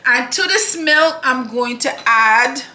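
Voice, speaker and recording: Bright-voiced woman in an echoey kitchen. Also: high voice, animated, takes it slowly. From Barbados. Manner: chatty and affectionate, often checks in with "okay?". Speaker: cooking channel host. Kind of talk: home cooking demonstration. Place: home kitchen. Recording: echoey kitchen with dishes clattering.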